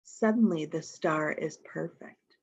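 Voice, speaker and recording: A woman's voice: low-pitched, slow, husky. Woman reading to children, slightly muffled recording. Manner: expressive, explains pictures to young listeners. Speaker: storytime reader. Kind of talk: reading a picture book aloud to children.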